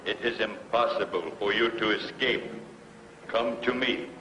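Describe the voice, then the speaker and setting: An actor playing a menacing alien man posing as human, with slow, stilted, commanding delivery, in 1950s sci-fi B-movie style. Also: lowish voice, fairly even intonation. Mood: cold commanding. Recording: echoey old film audio, loud noise.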